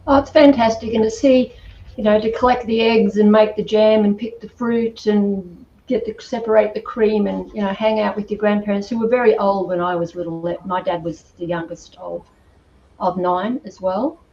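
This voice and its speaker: A woman's voice: mid-range voice, steady tone, moderate pace, mellow. A muffled recording in a roomy space with faint noise carries an older Australian woman's voice. She is a chatty guest, reminiscing in a casual storytelling way. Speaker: podcast guest. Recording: muffled, distant echoey room, faint noise.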